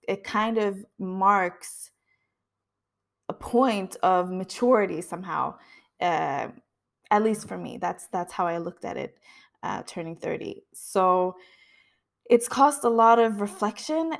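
A woman's voice: mid-range voice, slow pace, vocal fry. A woman of about thirty hosting a podcast, speaking in an earnest, introspective monologue. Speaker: podcast host. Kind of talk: reflective personal monologue.

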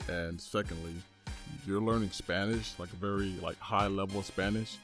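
A man co-hosting a casual podcast, laid-back and teasing, with low mumbled asides. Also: steady tone, moderate pace, over music. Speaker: podcast co-host. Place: indoors at home.

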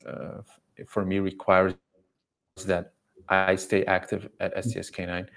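A man's voice: deep voice, level tone, measured pace, gravelly, fairly bright tone. A man speaking as a dog coach, explaining at length and emphatically in casual conversation. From Belgium. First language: not English. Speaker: dog trainer and coach, podcast guest.

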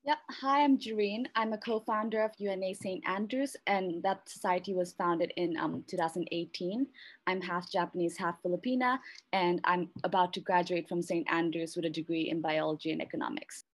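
A woman's voice: lowish voice, steady tone, moderate pace, no echo. A young woman student introducing herself and answering in a chatty, rambling way. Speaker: student, society co-founder.

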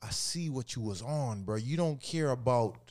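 A man's voice: medium pitch, animated, normal speed, a little mellow. A man in his thirties chatting casually as a podcast co-host, blunt and profane, telling stories with heavy emphasis. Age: 30s. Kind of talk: casual chat.

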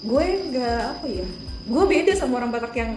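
A woman from Jakarta with a Jakarta accent, explaining thoughtfully with examples in a relaxed, slangy casual chat. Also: a little high, brisk. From Jakarta, Indonesia. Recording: echoey room with background music.

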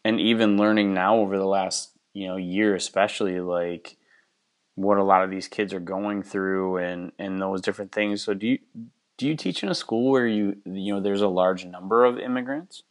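A man from Wisconsin, hosting a relaxed interview and asking curious, reflective questions. His voice is very deep and low. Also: normal speed. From Wisconsin, United States. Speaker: interview host, teacher. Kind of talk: casual conversational interview.

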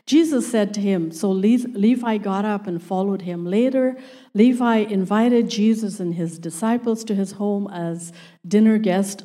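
A woman whose first language is not English, reading scripture aloud in church slowly and carefully, with occasional stumbles. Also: mid-range voice, dark tone. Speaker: scripture reader.

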